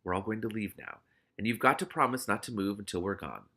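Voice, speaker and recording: Man reading as a cocky streetwise talking cat, bright-voiced. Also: lowish voice, fast talker. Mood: bossy. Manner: wheedling, bossy, glib.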